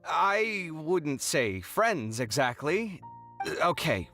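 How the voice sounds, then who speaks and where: A man's voice: on the high side, sing-song, very slow, bright, forceful tone, full character voice. A man voicing a flustered, theatrical young man who embarrasses easily, in a video game. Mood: awkward, apologetic. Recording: music behind the voice.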